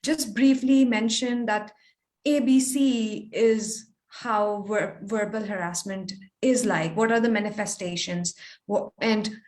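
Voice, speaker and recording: A woman's voice: mid-range voice, fairly even intonation, slow, darkish tone, reverberant. A woman moderating a webinar over a video call, posing a question politely with many restarts and hesitations.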